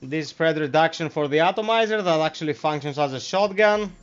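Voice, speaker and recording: A man's voice: fairly high pitch, a little fast, faint music behind. Greek-accented man commenting on gameplay. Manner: friendly, relaxed. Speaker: streamer. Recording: slightly muffled stream mic.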